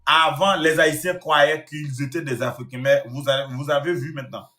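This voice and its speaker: A man from Haiti lectures his audience in a long, scolding rant, repeating phrases to hammer his point home. His voice is bright and a touch high. The room around him echoes. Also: fairly even intonation, moderate pace. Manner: scolding, repetitive, addresses the audience directly. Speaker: online commentator. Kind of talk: ranting monologue to viewers.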